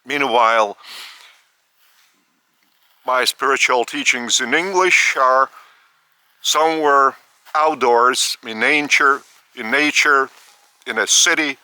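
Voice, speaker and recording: A man from Lithuania, giving a spiritual talk outdoors in the park of an old estate, speaking slowly in short, halting phrases. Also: medium pitch, pushed and bright, smooth, no echo. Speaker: spiritual teacher.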